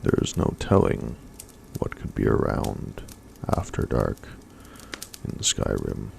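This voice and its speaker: A man reading a fantasy story aloud in a soft-spoken ASMR style. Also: rumbling low, sing-song, takes it slowly, vocal fry, slight background noise.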